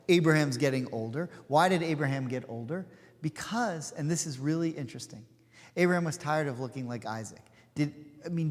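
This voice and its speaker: A man in his forties leading an informal study class, chatty and digressive, with plenty of asides and fillers. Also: fairly high pitch. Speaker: rabbi teaching a class. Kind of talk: informal study-session teaching. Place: synagogue hall. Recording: hall with long reverb.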